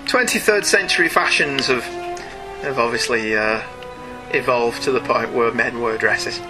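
A man's voice: medium pitch, moderate pace, poor audio. A man chatting casually as co-host of a comic-book review podcast, opinionated and wry. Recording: music behind the voice. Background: music.

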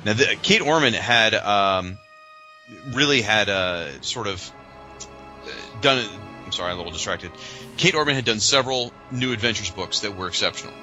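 A man co-hosting a podcast, chatting casually and informally like an animated fan. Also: fairly low pitch, normal speed, soft background music, low-quality recording. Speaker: podcast co-host.

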